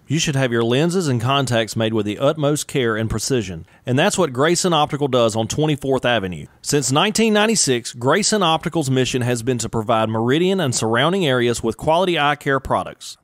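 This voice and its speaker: Steady male ad announcer, dry sound. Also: mid-range voice, no echo. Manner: steady, measured announcer delivery. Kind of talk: scripted ad read.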